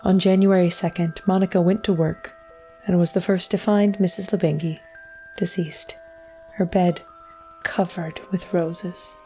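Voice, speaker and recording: An actress playing a solemn storyteller, narrating a scripted dramatic tale in a measured, literary way. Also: female voice, fairly low pitch, fairly even intonation, mellow, faint music behind, lightly acted. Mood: mournful. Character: solemn female storyteller of a sad ghost tale.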